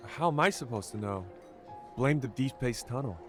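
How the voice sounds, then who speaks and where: An actor playing a prying bartender who trades in information, conversational and probing, in a video game. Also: male voice, mid-range voice, expressive, moderate pace, close to an everyday voice. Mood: casual needling. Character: nosy, needling male bartender who deals in information. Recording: music behind the voice.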